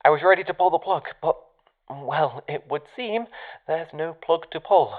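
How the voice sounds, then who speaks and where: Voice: medium pitch, moderate pace. An actor playing a polite, evasive scientist with a hesitant, understated, formal manner over a radio channel in an audio drama. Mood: uneasy, polite. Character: evasive, polite, dryly calm scientist in charge of an experiment. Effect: radio channel filter. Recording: thin, phone-like radio channel.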